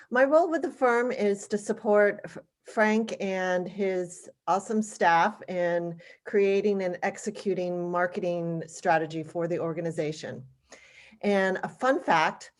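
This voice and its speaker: A woman introducing herself as a marketing consultant on a video call, smooth and prepared. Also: medium pitch.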